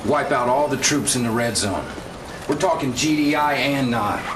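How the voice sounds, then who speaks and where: An actor playing a weary, doubting field officer in a video game cutscene, talking in a blunt, confiding, colloquial way. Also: male voice, mid-range voice, moderate pace, natural voice. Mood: disillusioned. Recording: distant mic, clearly noisy background.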